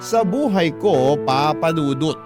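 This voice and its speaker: A man's voice: fairly high pitch, animated, slow, over loud music. A man with a Filipino accent reading a listener's letter aloud as a radio drama host and narrator.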